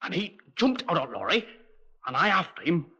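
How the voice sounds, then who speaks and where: An actor playing a simple young army signaller in a 1950s radio crime drama, confessing to killing in a self-possessed, childlike, matter-of-fact way. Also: male voice, on the high side, very animated, brisk, pushed and bright, husky, heavily acted. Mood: eerie, excited confession. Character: simple young husky male army signaller, unrepentant killer. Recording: dull lo-fi 1950s radio recording.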